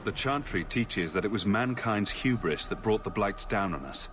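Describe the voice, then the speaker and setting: Man as a low-voiced, resolute adventurer. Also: lively intonation, moderate pace, over music, close to an everyday voice. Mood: questioning, firm. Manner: direct, questioning, firm. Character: resolute, plain-spoken male adventurer hero. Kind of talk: dramatic fantasy video game dialogue.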